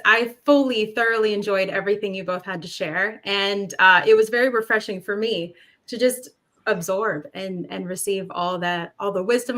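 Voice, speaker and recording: A woman speaking earnestly as a panelist in an online discussion, pausing to stress key words. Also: mid-range voice, a bit bright.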